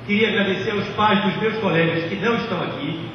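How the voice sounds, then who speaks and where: A man's voice: sits high, fast. A man, giving a humorous speech as a graduating student at a podium. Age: 20s. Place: large graduation ceremony at a podium. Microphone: microphone on a stand through speakers. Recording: echoey outdoor PA, muffled, distant, noisy.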